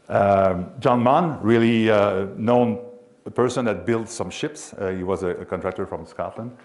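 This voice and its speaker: A man with a Quebec French accent, a local sports announcer giving an informal history talk in English, pausing often with fillers as he searches for words. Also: sits low, a little mellow. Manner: halting, many fillers and restarts while searching for English words.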